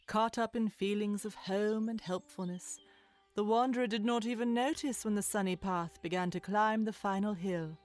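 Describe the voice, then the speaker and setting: A woman's voice: mid-range voice, darkish tone. Woman narrating a storybook over music. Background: music bed.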